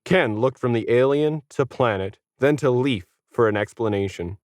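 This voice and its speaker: A man's voice: medium pitch, normal speed, no echo, studio quality. A man reading scripted fiction as a story narrator.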